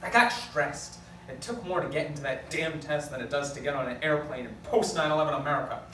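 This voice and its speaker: A man's voice: fairly high pitch, fairly quick, fairly bright tone, distant mic, close to an everyday voice. Man playing a blunt lawyer in an echoey, noisy room. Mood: defensive. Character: blunt, teasing, easygoing male lawyer. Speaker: actor at a script table read. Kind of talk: amateur comedic script table read.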